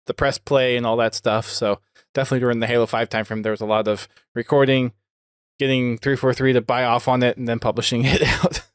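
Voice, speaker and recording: A man's voice: medium pitch, flat delivery, a little fast, smooth, no room sound, studio quality. A man hosting a podcast, chatting casually with a guest. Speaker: podcast host interviewing a guest.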